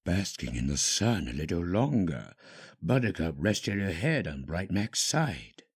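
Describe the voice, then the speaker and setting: A man's voice: low-pitched, sing-song, a little slow, breathy edge. A man reading a fan-fiction story as a dramatic scripted narrator.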